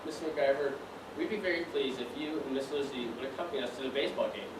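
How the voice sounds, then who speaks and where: A man acting on stage as an earnest, polite gentleman suitor, apologetic and eager in a projected theatrical delivery. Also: mid-range voice, quick pace, recorded from a distance, natural voice. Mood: hopeful. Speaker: stage actor in a one-act play. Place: theatre stage, distant microphone. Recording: echoey, noisy stage recording from afar.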